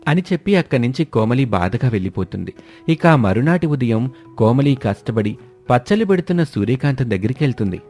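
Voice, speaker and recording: A man's voice: mid-range voice, sing-song, brisk, darkish tone, faint music behind. A man narrating a scripted moral story as its storyteller.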